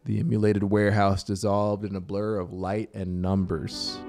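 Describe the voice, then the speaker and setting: Deep-voiced man narrating dramatically over music. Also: completely flat delivery, vocal fry, a little mellow. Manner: deliberate, theatrical storytelling. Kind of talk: dramatic scripted story narration. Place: small home music studio, indoors. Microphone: close studio microphone with headphones. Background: music soundscape.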